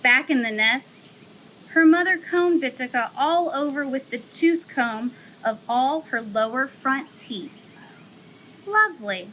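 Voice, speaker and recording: A young woman who works at a zoo reads a children's picture book aloud outdoors, in an expressive storytelling manner. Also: high-pitched, a little hiss or hum, poor audio. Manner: slow, expressive storytelling, livelier chat afterward. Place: outdoor zoo enclosure with trees.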